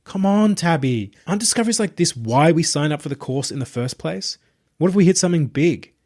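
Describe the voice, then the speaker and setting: A man's voice: on the high side, animated, brisk, a little mellow, pitched above the performer's own voice. Audiobook role: eager, persuasive young man at university, playful delivery, performed by a male reader. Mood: eager, coaxing. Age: young adult. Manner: keen, coaxing, playful. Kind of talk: audiobook character voice.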